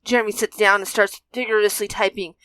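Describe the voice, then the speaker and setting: A woman's voice: medium pitch, little pitch movement, a little fast, sharp tone, clear, resonant tone, no echo, rough recording. A woman narrating a fan fiction story as a scripted reading.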